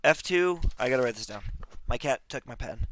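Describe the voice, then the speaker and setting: A man playing a flight simulator at home, reading instructions back to himself in a halting, self-joking way. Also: mid-range voice, lively intonation, a bit bright, a little hiss or hum. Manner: repeats instructions aloud slowly, self-joking. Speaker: gamer learning a flight sim. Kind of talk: casual gameplay commentary.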